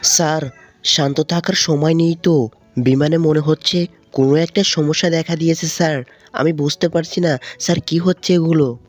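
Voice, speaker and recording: A man's voice: a little high, fairly even intonation, moderate pace, voice pushed up, natural voice. A desperate cartoon pilot acted by a man with a Bangladeshi accent, pleading urgently and politely. The voice is raised a little, with music under it. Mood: panicked. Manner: urgent pleading, repeating "sir". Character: panicking male pilot radioing for help, polite, desperate.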